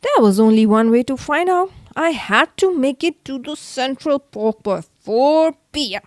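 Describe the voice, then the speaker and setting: Female children's read-aloud narrator: expressive, slightly high, unhurried, dry sound. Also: no room sound. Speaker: storyteller.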